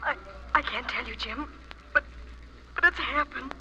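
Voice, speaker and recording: A woman's voice: high-pitched, unhurried, bright, forceful tone, heavily acted. An actress playing a terrified young woman pleading falteringly for help in a 1940s radio melodrama. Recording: very noisy, muffled vintage radio recording.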